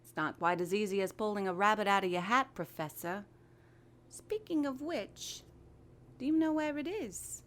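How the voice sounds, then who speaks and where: A woman's voice: medium pitch, lively intonation, moderate pace, close to an everyday voice. A woman voicing a sly, sardonic and pushy magical sidekick to a magician in an audio drama. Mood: pressing. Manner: teasing, sardonic.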